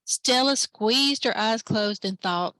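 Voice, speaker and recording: A woman librarian reading a children's picture book aloud at story time, lively and expressive. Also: a little high, slow pace, dry recording. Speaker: library branch manager, story time reader.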